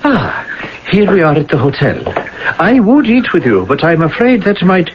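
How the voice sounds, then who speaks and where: Mysterious professor: formal, soothing, very varied pitch, noisy lo-fi radio sound. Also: male voice, big pitch swings, normal speed. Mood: genial, courtly. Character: courtly, mysterious male travelling professor, soothing. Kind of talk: 1980s radio drama acting. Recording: dull, noisy old radio recording.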